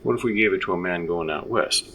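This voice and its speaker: A man's voice: sits low, quick pace, pushed and bright, slight background noise. A man playing a practical, patient frontier father with dry humor in an audio drama. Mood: matter-of-fact.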